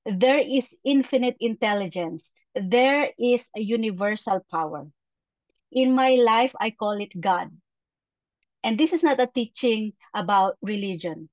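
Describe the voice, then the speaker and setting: A Filipino woman coach delivers a motivational talk at a slow pace. Her phrasing is deliberate and emphatic, with repeated words. The audio is dry and somewhat dull, like a webinar call. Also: mid-range voice, dry recording. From Philippines. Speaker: performance coach, guest speaker. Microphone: video call.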